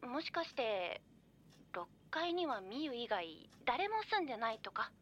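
Worried caring best friend on a phone call, young woman, highish voice, muffled line, hesitant, horror radio drama. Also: big pitch swings, unhurried, fairly bright tone, slight background noise. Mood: hesitant, uneasy. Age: young adult. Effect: telephone voice filter. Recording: band-limited, phone-call sound.

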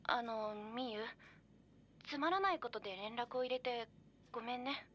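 Muffled as if over a phone, a high young female voice plays a worried best friend in a horror radio drama. She is caring and hesitant. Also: lively intonation, normal speed, fairly bright tone. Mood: worried, reluctant. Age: young adult. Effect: telephone voice filter. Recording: band-limited, phone-call sound.

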